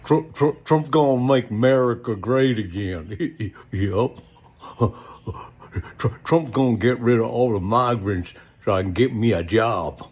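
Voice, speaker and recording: A man voicing a dim, gullible political fan in a mocking comic parody, with a husky voice. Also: mid-range voice, a little slow, darkish tone. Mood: dopey, gleeful. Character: dim, slow, gullible male Trump fan.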